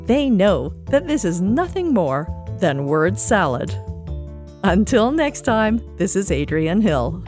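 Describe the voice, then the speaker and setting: A woman's voice: medium pitch, sing-song, slow, a little mellow, soft background music. A middle-aged woman presenting a scripted podcast segment, narrating with wry asides.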